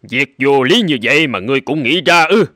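A man voicing an imperious, quick-tempered king in a folk-tale cartoon, with a haughty, commanding manner. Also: medium pitch, lively intonation, sharp tone. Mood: outraged. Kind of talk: Vietnamese cartoon dubbing.

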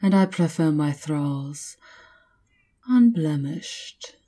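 A woman playing a seductive, menacing vampire countess in an ASMR roleplay, taunting in a breathy, theatrical purr that often drops toward a whisper. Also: deep voice, animated, drawn-out words, warm tone, full character voice. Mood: commanding.

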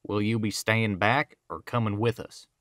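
Guy doing a bossy werewolf elder, lowish hard bright voice, stern and blunt. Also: fairly even intonation, normal speed, dry recording, studio quality, lightly acted. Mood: stern, skeptical. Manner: stern, authoritative. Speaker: voice actor. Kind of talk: audio roleplay drama.

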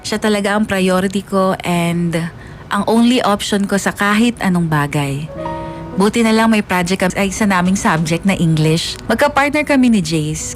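Woman as lovestruck young storyteller, medium pitch. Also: lightly acted. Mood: wistful, resentful, then pleased. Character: lovestruck young woman recounting her high school crush. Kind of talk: first-person radio drama narration.

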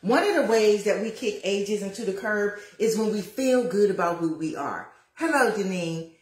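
A woman in her fifties talks straight to her viewers while she cooks, lively and a little preachy, calling out to them as y'all. Her voice sits at an ordinary middle pitch. It is picked up by a phone at arm's length and bounces around a roomy home kitchen. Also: moderate pace. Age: 50s. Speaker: lifestyle vlogger. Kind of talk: casual livestream chat while cooking. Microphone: phone camera at arm's length.

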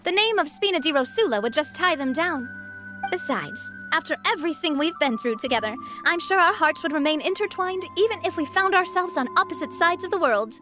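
A woman's voice: very high-pitched, expressive, a little fast. An actress playing a gracious, confident young lady boss in a video game, elegant and sincere, with music behind her. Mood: warm, celebratory. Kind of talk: video game character acting.

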